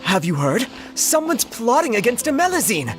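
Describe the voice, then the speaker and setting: An actor voicing an excited gossiping townsperson. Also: medium pitch, normal speed, soft background music, natural voice. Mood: eager. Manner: eager, rallying.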